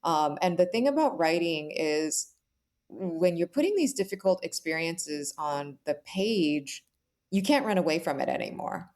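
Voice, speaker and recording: A woman's voice: fairly low pitch, lively intonation, unhurried, darkish tone. A woman speaking as an author guest in an interview, conversational, earnest and reflective, often checking in with "right?".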